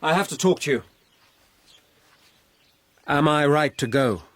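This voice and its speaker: An actor playing an earnest, headstrong young aristocrat with an upper-class English accent, formal and resolute, in a period radio drama. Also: male voice, fairly high pitch, expressive, a little slow. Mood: searching. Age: young adult.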